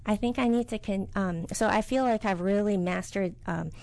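A middle-aged woman from the United States, a business owner answering interview questions in a soft, hesitant way with many fillers. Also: mid-range voice, moderate pace, vocal fry.

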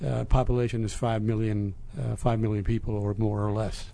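A man in his fifties from Lebanon, answering interview questions in a halting, measured way. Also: fairly low pitch, level tone, vocal fry, dark tone. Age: 50s. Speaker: interview guest, business executive.

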